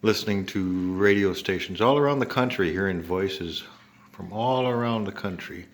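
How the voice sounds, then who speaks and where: An older man with an American accent giving a casual, halting show-and-tell narration in short phrases. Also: low-pitched. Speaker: home video maker showing an antique radio.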